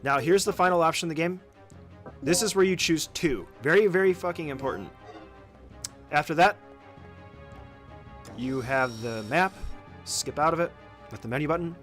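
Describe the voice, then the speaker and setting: A man's voice: a little high, moderate pace, long pauses, a bit bright, soft background music. A man giving an informal, casual walkthrough commentary as a speedrunner teaching a game route, swearing now and then.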